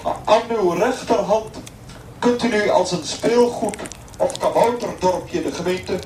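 A man's voice: high-pitched, unhurried, a little mellow. An actor playing a distracted male airline captain giving hesitant, rambling announcements in a satirical radio play. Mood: languid, distracted. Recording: distant echoey voice, faint cabin noise.

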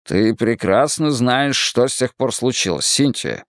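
A man's voice: medium pitch, animated, unhurried, no echo, studio quality. A man voicing a stern, wounded and unyielding father in a dramatised audiobook, speaking firmly and bluntly in exasperation. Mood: defensive, weary. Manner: firm, blunt, commanding.

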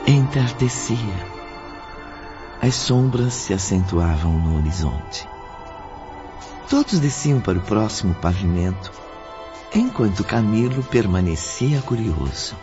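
A man's voice: fairly low pitch, animated, slow pace, long pauses, lo-fi sound, music in the background. A man narrating a scripted radio drama as its storyteller.

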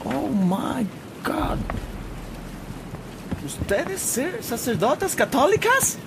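Un actor que interpreta en una radionovela a un capitán de barco extranjero, efusivo, con acento de angloparlante y español entrecortado. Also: male voice, very high-pitched, very animated, extremely slow, vocal fry, heavily acted. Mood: amazed. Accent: English-speaking foreigner's. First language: English. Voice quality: broken Spanish mixed with English exclamations. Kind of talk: radio drama acting. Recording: rain and boat noise behind.

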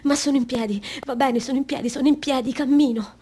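A woman's voice: sits high, fairly even intonation, quick pace, warm tone, slightly rough. An actress in a radio drama playing a hurt young woman who swears as she struggles to keep walking. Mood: agonized, frantic.

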